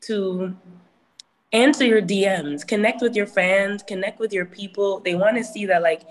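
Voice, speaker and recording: A woman's voice: mid-range voice, moderate pace, low-quality recording. A woman musician giving casual advice about promoting music, chatty and full of fillers like "you know".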